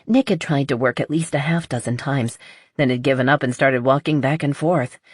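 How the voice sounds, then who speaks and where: A woman with a husky voice reading scripted romance narration as an audiobook narrator. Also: rumbling low, normal speed, no room sound, clean studio sound.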